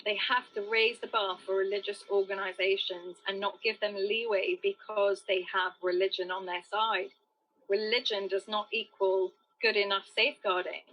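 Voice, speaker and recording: Woman campaigner interviewed for the news, firm, very even delivery. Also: medium pitch, normal speed, low-quality recording. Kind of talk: interview statement.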